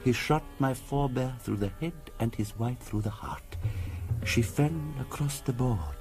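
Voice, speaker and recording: An actor playing a solemn, courteous mystic in a radio drama, speaking in a measured, formal, portentous storytelling manner. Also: male voice, mid-range voice, mellow. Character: solemn, courteous male mystic recounting a family curse. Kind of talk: 1970s radio drama acting.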